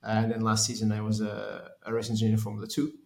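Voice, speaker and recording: A man's voice: fairly low pitch, completely flat delivery, fast, creaky, echoey room. A man from Portugal in his thirties, a race engineer answering interview questions in a hesitant way with many restarts. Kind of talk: conversational interview.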